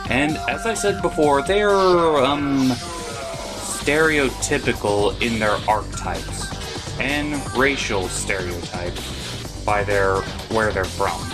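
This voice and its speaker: A man's voice: medium pitch, takes it slowly, over music. A man delivering scripted comedic review narration as a theatrical, opinionated show host.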